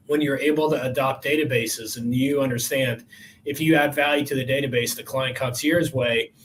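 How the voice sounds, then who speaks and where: A man's voice: mid-range voice, slight background noise, poor audio. A man in his forties hosting a coaching podcast, talking in an emphatic, motivational way. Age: 40s. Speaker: podcast host.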